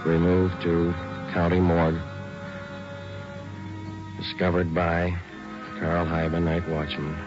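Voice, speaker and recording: An American actor playing a stoic, businesslike police detective in an old radio crime drama, with clipped, deadpan delivery. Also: male voice, low voice, slow pace, long pauses. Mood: flat, official. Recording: dull vintage radio recording, music behind.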